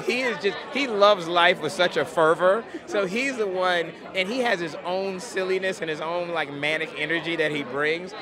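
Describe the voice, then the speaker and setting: Upbeat man on a noisy red carpet. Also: sits high. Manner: upbeat, enthusiastic. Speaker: film producer. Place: outdoor red carpet premiere, noisy crowd. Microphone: handheld interview mic held close. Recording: loud crowd chatter behind.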